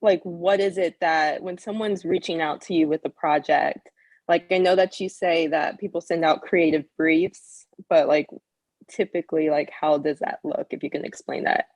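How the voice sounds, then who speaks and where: A woman's voice: deep voice, fairly even intonation, moderate pace, a little mellow, dry recording, rough recording. A woman from the audience asking a long, hesitant question with many fillers. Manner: hesitant, heavy use of "like". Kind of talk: casual question.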